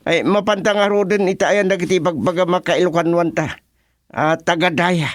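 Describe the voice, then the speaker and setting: A Filipino man playing a baffled, excitable village father-in-law in a radio comedy drama, exclaiming in drawn-out phrases. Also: high-pitched, no echo, clean studio sound, natural voice. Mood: resigned.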